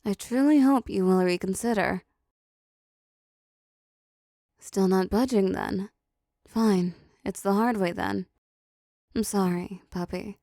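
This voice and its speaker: A woman playing a seductive, possessive female demon in an intimate roleplay audio drama, talking slowly and teasingly to the listener with condescending endearments. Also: medium pitch, dark tone, dry recording, clean studio sound, natural voice. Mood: coaxing, menacing.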